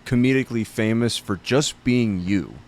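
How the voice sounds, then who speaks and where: A man hosting a podcast in casual chat, excitable and quick to swear and laugh. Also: mid-range voice. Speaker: podcast host.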